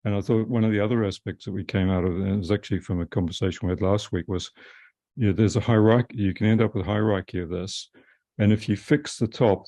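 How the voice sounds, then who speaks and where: A man with a very low, mellow voice thinks aloud on a video call panel, recorded dry and close. He rambles and hesitates, leaning on fillers as he goes. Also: dry recording. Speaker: panelist, systems architect.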